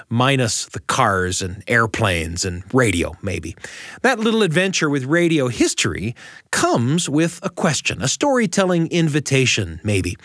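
American guy hosting storytelling radio, very lively pitch, dry close studio sound. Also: no room sound. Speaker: radio show host. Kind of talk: expressive scripted storytelling narration.

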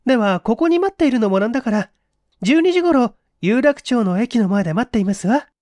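A woman plays a young lady from a vintage mystery drama. Her voice is high and feminine, with refined, composed manners and a pleading politeness. The recording is close and dry. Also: expressive, brisk, warm tone, no echo, lightly acted. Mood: grateful.

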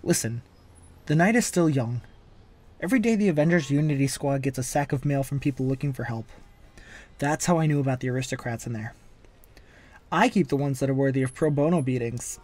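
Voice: medium pitch, lively intonation, fairly quick, lightly acted. A performer voicing a wisecracking, manic masked antihero in a comic-book dub, flippant and theatrical but caring underneath. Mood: flippant, joking. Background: background music.

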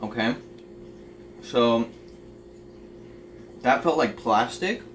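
A man's voice: medium pitch, animated, extremely slow. Young man chatting in a home kitchen. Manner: chatty, offhand, lots of fillers. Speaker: home cooking vlogger. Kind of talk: casual cooking vlog. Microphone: camera on the counter, across the kitchen. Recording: roomy, distant camera audio with noise.

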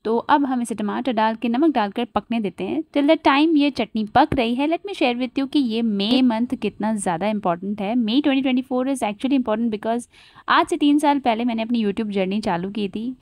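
A woman's voice: a little high, normal speed, darkish tone, no room sound. A woman in her thirties chatting through a home cooking vlog, slipping English phrases into her talk. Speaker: cooking vlogger. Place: home kitchen, indoors.

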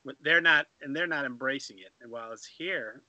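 A man's voice: medium pitch, brisk, pushed and bright, dry recording, dull audio. A middle-aged man hosting a radio talk show over a video call, speaking through a headset in a rambling way with many restarts. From Florida. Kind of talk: casual video-call discussion. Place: home room.

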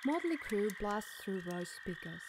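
A woman's voice: mid-range voice, very animated, measured pace. Woman narrating a scary story, noisy background. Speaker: podcast storyteller.

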